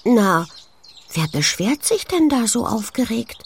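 A woman reading a children's story in an expressive, hushed and wondering way, speaking directly to a small child. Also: on the high side, unhurried, slight background noise. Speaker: audiobook narrator for young children. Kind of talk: gentle scripted children's story narration with questions to the listener. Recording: birdsong and clicks behind the voice. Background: forest sounds with chirping birds.

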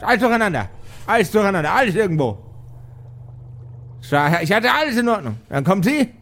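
A man's voice: high voice, big pitch swings, fairly quick, a bit bright, voice pushed up, exaggerated voice. Man putting on a grumpy, flustered old magician. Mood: flustered, lamenting. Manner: complaining, sputtering, repeats himself in outrage.

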